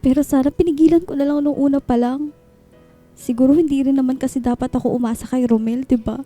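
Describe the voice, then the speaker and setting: An actress playing a heartbroken, self-blaming young woman in a radio drama, speaking softly and plaintively. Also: high-pitched, fairly even intonation, quick pace, faint music behind. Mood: resigned. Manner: soft, plaintive, wistful. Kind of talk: Filipino radio drama acting.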